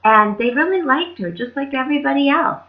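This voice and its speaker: A woman tells children's stories in a casual, friendly-paced library style. Her voice sits fairly high and moves around a lot. The recording is slightly dull. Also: expressive, fairly quick. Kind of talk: animated children's storytelling, casual.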